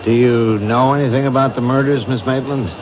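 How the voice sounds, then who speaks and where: An actor playing a skeptical police captain who makes dry, dismissive quips in a 1970s radio drama. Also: male voice, medium pitch, fairly even intonation, fairly quick, natural voice. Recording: dull old radio broadcast, noisy.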